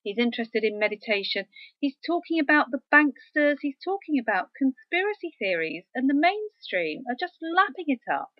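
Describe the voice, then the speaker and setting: A woman talks through web pages she is showing on screen, in a casual and wry way with frequent ums. Her voice sits fairly high for a woman. The recording is dry and close, with a slightly dull, muffled tone. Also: sing-song, moderate pace, no echo. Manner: chatty, wry, with filler ums. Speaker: researcher presenting a screen tour.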